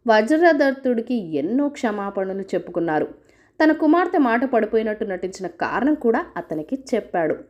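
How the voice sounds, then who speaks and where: A woman narrates a folk tale from a script. Her voice sits fairly high, and her intonation moves with lots of variety as she tells the story. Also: sing-song, moderate pace, a little mellow, lo-fi sound.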